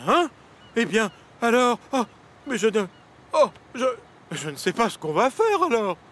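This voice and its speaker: Blustering, high-pitched cartoon boss. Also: male voice, sing-song, unhurried, a bit bright, full character voice. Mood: dismayed. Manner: blustering, sputtering. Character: flustered, pompous, grumbling male railway boss. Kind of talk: children's cartoon dub.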